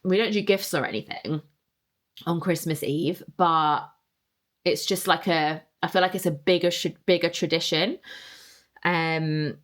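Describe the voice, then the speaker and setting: Chatty young woman, low voice. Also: fairly even intonation, a little slow. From England. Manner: chatty, rambling, reading listener answers aloud. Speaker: podcast host. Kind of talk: casual solo podcast chat.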